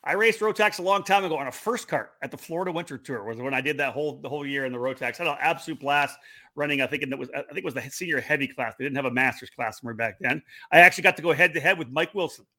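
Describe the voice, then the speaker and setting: Brief: man from Canada, fast bright host voice, upbeat promo energy. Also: on the high side. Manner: upbeat, quick promotional delivery. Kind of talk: online talk show interview.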